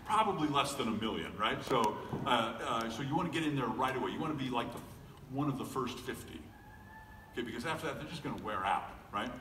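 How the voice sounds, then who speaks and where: A middle-aged man, a voice actor, talking casually at a convention panel in a joking, self-deprecating way with lots of fillers and restarts. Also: mid-range voice. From United States. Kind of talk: casual panel talk to an audience. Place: large convention hall. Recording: echoey hall recording from far off, faint noise.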